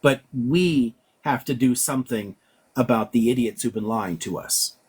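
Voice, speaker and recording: Husky-voiced professor ranting bluntly. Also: male voice, mid-range voice, a little mellow. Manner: blunt and profane, fast with many restarts. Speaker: professor hosting a live stream. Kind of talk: casual live-stream chat. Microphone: live-stream setup in his office.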